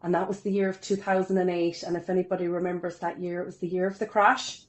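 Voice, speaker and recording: A woman's voice: on the low side, little pitch movement, fast talker. A woman, telling her life story as a podcast guest in a chatty, upbeat way with self-deprecating humour. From Ireland. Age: 40s. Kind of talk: casual interview storytelling.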